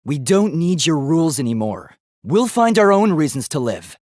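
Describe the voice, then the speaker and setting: Earnest, expressive young male robot. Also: a little high, moderate pace, no room sound, clean studio sound. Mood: earnest, serious. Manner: formal, precise, analytical. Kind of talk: English video game voice acting.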